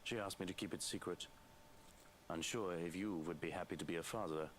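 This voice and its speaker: An actor playing a grave, restrained man in a visual novel, speaking in a measured, formal, reproachful way. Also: deep voice, lightly acted. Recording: game audio through stream, background noise.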